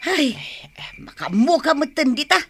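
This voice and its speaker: A woman's voice: high-pitched, lively intonation, a little slow. A woman playing a feisty, practical, scolding wife with an Ilocano accent in a radio comedy drama, nagging and exclamatory. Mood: irritated, scolding. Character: feisty, practical wife fond of secondhand bargains, scolding her husband.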